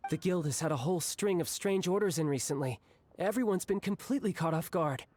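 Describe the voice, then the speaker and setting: A woman's voice: lowish voice, lively intonation, fairly quick, a little mellow, dry recording. A woman voicing a polite, deferential guild employee in a video game, with music faintly behind. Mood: worried. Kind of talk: video game character acting.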